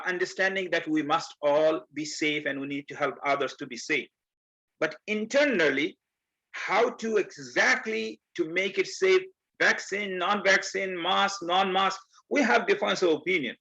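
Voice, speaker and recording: A man from Bangladesh giving a community presentation, explaining step by step and earnestly, with many pauses. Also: high-pitched, gravelly, pushed and bright. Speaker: guest speaker. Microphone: video call. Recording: muffled video call audio.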